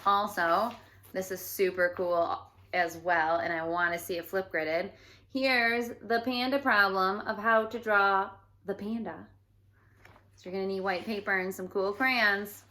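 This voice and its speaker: Lady teacher talking to kids, takes it slow, expressive storytime delivery, medium pitch. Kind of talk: read-aloud and instructions for children.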